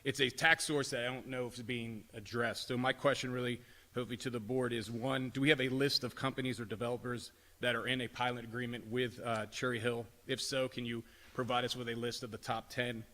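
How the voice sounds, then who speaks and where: A man's voice: medium pitch, flat delivery, a little fast, sharp tone. A man politely and hesitantly asking questions at a school board meeting, with many fillers. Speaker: public commenter. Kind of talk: public comment at a board meeting. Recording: livestream with long hall reverb.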